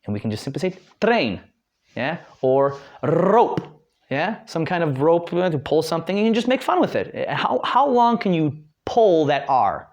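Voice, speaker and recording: A man's voice: a little high, very animated, normal speed, darkish tone, muffled recording. A young American man from Detroit giving a casual spoken lesson, chatty, with many fillers and restarts. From Detroit, United States. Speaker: guest language learner.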